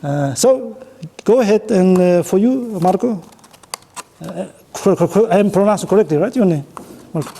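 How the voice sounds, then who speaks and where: A man with an Uzbek accent speaking halting, choppy English as he runs an informal interactive talk with an audience. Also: sits high, lively intonation, gravelly, warm tone. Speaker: conference speaker running an audience networking game.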